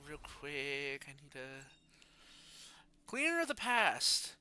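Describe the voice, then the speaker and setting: A man streaming a video game, talking casually and reading aloud in an animated, playful way. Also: a little high, slow pace, smooth. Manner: animated, playful, sound-effect exclamations. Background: faint game music.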